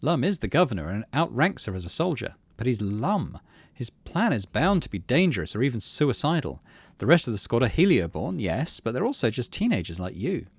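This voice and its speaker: A man's voice: medium pitch, sing-song, fairly quick, hardly any pauses, husky, dry recording. A man hosting a game playthrough, switching between casual commentary and reading story text aloud. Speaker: gaming channel host.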